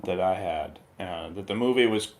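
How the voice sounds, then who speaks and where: A man reviewing a film in casual radio chat, halting as he searches for words. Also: deep voice, a little mellow.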